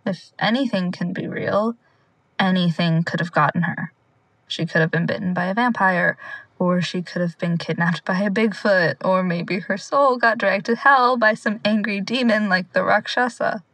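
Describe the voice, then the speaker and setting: A woman's voice: mid-range voice, normal speed, fairly bright tone, dry recording, low-quality recording. A young woman playing an anxious, sardonic amateur sleuth in a naturalistic audio drama, talking in a casual, rambling way. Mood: brooding.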